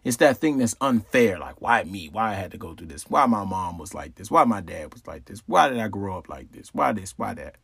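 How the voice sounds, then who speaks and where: A man's voice: lowish voice, sing-song, brisk, creaky, few pauses, fairly bright tone, slightly rough, dry recording. Man casually explaining, dry close sound. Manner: conversational, repeats phrases, many rhetorical questions. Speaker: astrology channel host.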